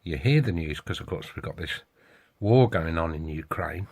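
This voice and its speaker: A man's voice: low voice, lively intonation, normal speed, a little mellow, slightly rough. A British man giving a casual, opinionated monologue as a hobbyist vlogger.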